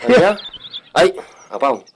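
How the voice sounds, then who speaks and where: Man as inquisitive, eager farmhand, Ilocano accent, excitable with bouncy highish pitch, for comedy radio drama. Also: slow, a little hiss or hum, exaggerated voice. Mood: nosy, eager. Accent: Filipino, Ilocano. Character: inquisitive younger male farmhand, lively, eager.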